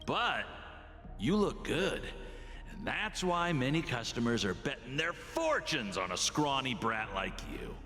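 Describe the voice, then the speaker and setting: A man playing a cruel, gloating slave master in a video game, with theatrical, drawn-out taunts. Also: medium pitch, big pitch swings, bright, forceful tone. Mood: smug. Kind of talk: video game character acting. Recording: long reverb, music behind.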